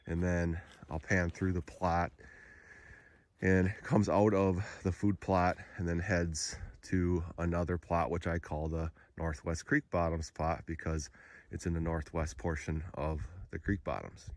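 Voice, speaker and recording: A sick man whose voice sounds nasal, giving a relaxed, rambling narrated tour as a landowner. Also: low voice, measured pace, no echo. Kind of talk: casual narrated drone tour.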